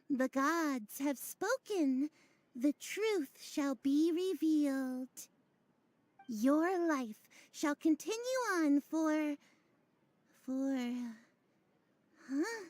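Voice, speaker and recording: A woman's voice: high-pitched, a little mellow, no room sound. A woman voicing a theatrical female fortune-teller in a video game, grandiose and pompous, with archaic phrasing. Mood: baffled, flustered. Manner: archaic, pompous, drawn-out delivery. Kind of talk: animated video game voice acting. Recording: background music under the voice.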